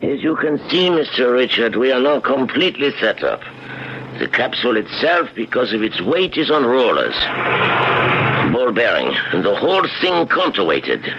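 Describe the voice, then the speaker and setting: An actor playing a confident, precise doctor-scientist in a measured, lecturing radio drama style. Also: male voice, mid-range voice, very animated. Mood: precise, explanatory. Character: confident, precise male doctor-scientist explaining his machine. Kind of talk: old-time radio drama acting. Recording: dull, noisy 1970s radio recording.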